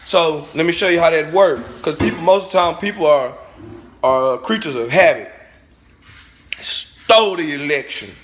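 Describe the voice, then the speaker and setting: A man with a high voice delivers a fired-up vlog rant, blunt and profane. He lectures his viewers directly, driving each point home like a sermon. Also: normal speed, fairly bright tone, a little hiss or hum. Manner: blunt, profane, preachy, talks straight at the viewers. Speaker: vlogger. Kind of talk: ranting monologue to camera.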